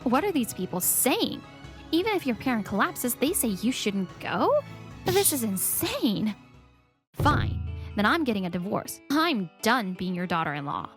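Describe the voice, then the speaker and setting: A woman voicing an earnest, expressive young mother and wife in a narrated manga dub. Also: on the high side, unhurried, soft background music. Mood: indignant. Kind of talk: scripted story narration, manga dub acting.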